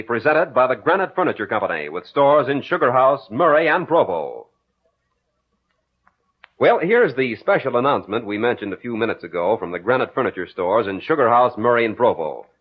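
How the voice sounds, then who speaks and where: A man's voice: medium pitch, animated, brisk. A man announcing in a 1940s radio style, reading sponsor copy in an emphatic, formal salesman's manner. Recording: tinny, lo-fi old radio recording.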